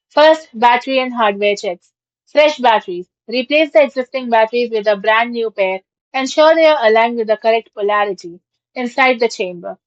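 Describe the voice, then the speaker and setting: A woman with a fairly high voice narrates a scripted how-to guide as its host. She reads each short heading and then the instruction. The sound is dry and close, a little dull and lo-fi. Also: no echo. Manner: reads instructions aloud in short headed steps. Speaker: tutorial host. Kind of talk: scripted step-by-step tech tutorial narration.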